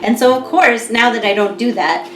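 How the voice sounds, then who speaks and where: An American woman in her thirties co-hosting a podcast, chatting casually with clear, careful diction and frequent laughter. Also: on the high side, moderate pace. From United States. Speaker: podcast co-host. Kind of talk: casual chat. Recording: echoey room with music behind.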